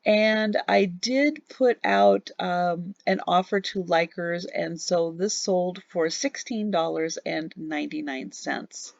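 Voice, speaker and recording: A woman reselling online, narrating a relaxed screen-recorded recap of what sold, halting with many ums and a tiring voice. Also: lowish voice, vocal fry, dry recording, muffled recording. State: losing her voice. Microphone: voice-over on a screen recording.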